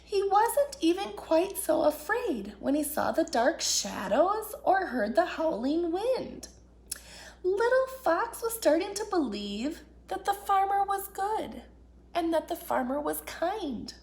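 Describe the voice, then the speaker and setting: A woman reading a picture book aloud to children as an expressive storyteller. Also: very high-pitched, slow pace. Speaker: children's storyteller, children's ministry director.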